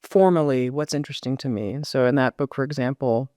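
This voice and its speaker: A middle-aged American woman speaks in a smooth, clear voice, close and dry. She thinks aloud in a reflective interview style, often circling back and questioning herself. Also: extremely low pitch, fast talker, dark tone, no room sound. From United States. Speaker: novelist, podcast guest.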